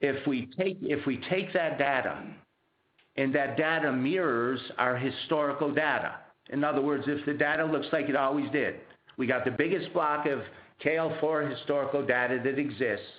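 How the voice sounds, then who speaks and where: Man on a muffled, echoey conference call. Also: medium pitch. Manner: halting, explanatory, rephrases mid-sentence. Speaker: company executive answering investor questions. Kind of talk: unscripted conference call answers. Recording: muffled, roomy conference phone line.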